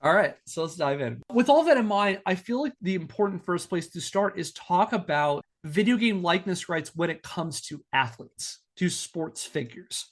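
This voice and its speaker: A man with a slightly high voice hosts a podcast. He presents prepared material like a storyteller giving a lesson, laying out points in careful, instructive lists. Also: animated, normal speed, few pauses.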